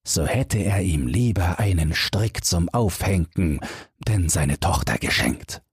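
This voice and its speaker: A very husky man with a low voice reads a bedtime fairy tale. He takes his time, phrasing each sentence deliberately as an audiobook narrator. The recording is close and dry. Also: a little mellow, dry recording. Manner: measured, storybook reading with deliberate phrasing.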